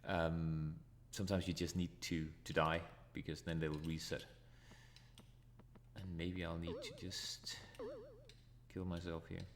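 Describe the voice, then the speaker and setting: A man's voice: low-pitched, flat delivery, unhurried, slight background noise. A Danish man with a Danish accent, hosting a retro gaming channel, giving live commentary as he plays and thinks aloud. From Denmark. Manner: thinks aloud, chuckles at his own deaths.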